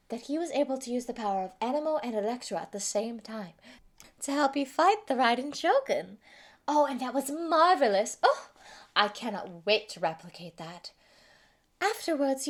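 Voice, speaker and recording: A woman acts out a sadistic female mad scientist in an audio roleplay. Her voice sits fairly high, and she taunts her captive with giddy, gloating relish. The delivery is theatrical and menacing, able to slip between giggly delight and hushed threat. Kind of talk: theatrical villain monologue, fan roleplay audio.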